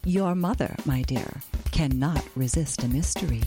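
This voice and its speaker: An older woman playing a fond, wry and chatty small-town grandmother in an expressive radio drama style. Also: very deep voice, normal speed, over music. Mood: knowing, sly.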